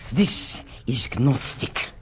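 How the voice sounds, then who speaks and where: A woman playing an imposing foreign princess and seance medium in a radio comedy, with an Eastern European accent and portentous, stilted speech. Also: very slow. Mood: mystical, confiding. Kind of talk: radio comedy drama acting.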